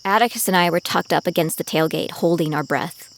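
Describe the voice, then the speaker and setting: A woman's voice: on the low side, fairly quick, creaky, a little hiss or hum. A woman playing a weary, determined young survivor, narrating her ordeal in a grave, introspective audio drama style. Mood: anxious, resolute. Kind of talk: first-person scripted audio drama narration. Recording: loud music and sound effects behind.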